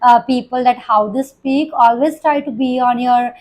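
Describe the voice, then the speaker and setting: A young woman teacher with an Indian English accent carrying a Punjabi touch talks students through exam tips. Her voice sits high. She pauses on frequent fillers and breaks off mid-word to restart. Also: fairly even intonation, a little mellow. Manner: hesitant, many fillers and restarts. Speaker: IELTS coaching teacher. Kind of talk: spoken lesson to students.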